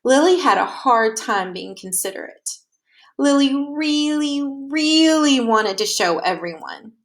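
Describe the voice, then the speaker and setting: A woman narrates a children's picture book in a fairly high voice. She takes her time, reading slowly and deliberately. Key words are stretched and stressed in an expressive storytelling style. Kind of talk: expressive read-aloud narration.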